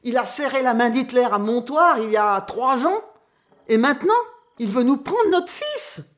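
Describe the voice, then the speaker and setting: A woman's voice: fairly high pitch, lively intonation, normal speed, close to an everyday voice. A woman playing a devoted, fierce middle-aged peasant mother in an audio drama. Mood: furious. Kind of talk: French audio drama acting.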